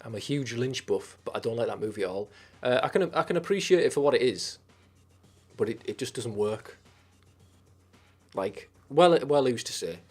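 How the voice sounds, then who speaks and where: A husky, slightly breathy man with a Teesside accent hosts a live stream, rambling through viewer comments with loose, sweary asides. His pitch sits mid-range, and faint music plays underneath. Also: lively intonation, moderate pace, a little mellow. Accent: Teesside English. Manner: rambling and sweary, with frequent restarts.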